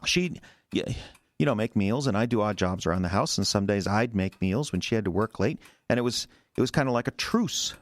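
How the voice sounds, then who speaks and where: An actor in an audio drama playing a resentful, defensive husband who stammers and rambles in a halting, wounded way. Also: male voice, fairly low pitch, animated, dry recording, natural voice. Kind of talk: naturalistic audio drama acting.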